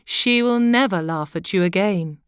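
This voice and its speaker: Woman as a measured, commanding storybook king. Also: medium pitch, clean tone, dry recording, studio quality, close to an everyday voice. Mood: reassuring. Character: great, kindly, commanding wise king.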